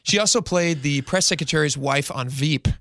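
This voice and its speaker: A man's voice: fairly high pitch, moderate pace, creaky, fairly bright tone, a little hiss or hum. A man in his forties hosting a radio talk show, chatting casually in a rambling way with lots of fillers. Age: 40s. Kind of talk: casual chat.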